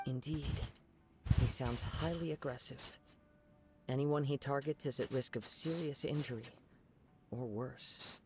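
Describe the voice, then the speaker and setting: An actor playing a calm, polite young wandering samurai in a video game, speaking in a formal, measured way. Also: male voice, mid-range voice, over music, close to an everyday voice. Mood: thoughtful.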